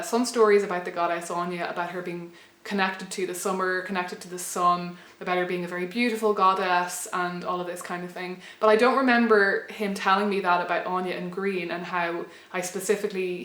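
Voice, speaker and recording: A woman's voice: mid-range voice, a bit bright. A young Irish woman telling a personal story to camera in a reflective, rambling way, often restarting her sentences. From Ireland. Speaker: pagan blogger and vlogger. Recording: roomy echo.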